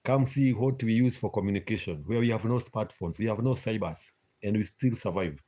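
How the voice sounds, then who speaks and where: A Kenyan man chatting casually with viewers on a live stream, pausing often on "uh" and repeating himself. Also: on the low side, dark tone. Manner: hesitant, frequent "uh" fillers, repeats himself.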